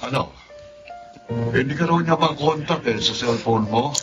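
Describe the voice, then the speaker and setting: A man's voice: mid-range voice, unhurried, highs cut off, over music, lightly acted. An actor playing a middle-aged father talking plainly to his son in a radio drama. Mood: matter-of-fact.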